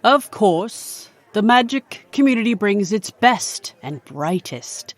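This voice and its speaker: Actress, composed supernatural queen, medium pitch, unhurried pace, formal stately delivery, audio drama. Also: animated. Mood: gracious, stately.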